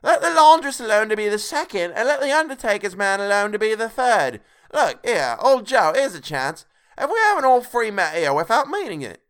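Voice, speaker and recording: A brazen, scornful woman of the back streets, acted out by a male narrator in a storybook tale. The voice is pitched high and swoops boldly, strident and defiant with every retort. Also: very animated, brisk, fairly bright tone, clean tone. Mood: brash, gleeful. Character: brazen, defiant older working woman, thief.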